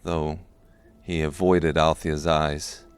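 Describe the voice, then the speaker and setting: A man reading scripted narration for a dark fantasy audiobook. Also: very deep voice, flat delivery, slow pace, clean tone, no room sound.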